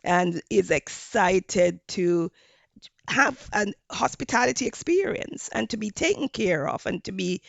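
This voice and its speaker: A woman from Jamaica with a Jamaican accent, a hospitality professor giving measured interview answers with frequent fillers. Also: mid-range voice, expressive, gravelly, no room sound.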